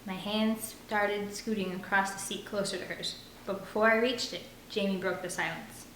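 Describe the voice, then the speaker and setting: A woman's voice: medium pitch, fairly even intonation, normal speed, a bit bright. A woman narrating a first-person novel aloud as an audiobook reader. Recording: roomy echo with faint background noise.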